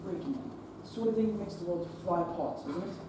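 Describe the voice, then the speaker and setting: A young actress playing a stern male hospital chief who lectures in clipped, forceful sentences on a stage. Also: lowish voice, quick pace, natural voice. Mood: stern, lecturing. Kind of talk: stage play acting. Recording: echoey stage from far off, noisy.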